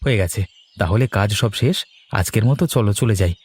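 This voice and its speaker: Man playing a fast-talking, practical village brother. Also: lowish voice, expressive, a little mellow, slightly rough, dry recording. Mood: brisk. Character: hardworking village brother in fish business, brisk, practical. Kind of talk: cartoon character dialogue.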